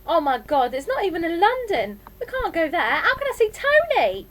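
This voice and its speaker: A woman voicing a defiant, lovesick teenage girl in an audiobook, indignant and wailing, often shouting. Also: high-pitched, animated, takes it slowly, voice pushed up. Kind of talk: audiobook character voice.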